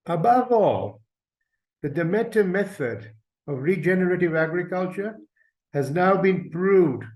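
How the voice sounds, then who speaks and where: An older man from Uganda speaks as a guest presenter in an online session. His voice sits slightly high, and his delivery is earnest and measured, like unhurried storytelling. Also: lively intonation, lo-fi sound. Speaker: invited speaker, company representative. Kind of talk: webinar presentation.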